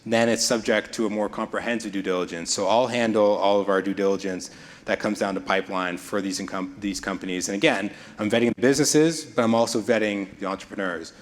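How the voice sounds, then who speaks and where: A man's voice: on the low side, fairly even intonation, brisk. A man giving a presentation, explaining investing in an easygoing way with some restarts. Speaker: investment speaker. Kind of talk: presentation talk.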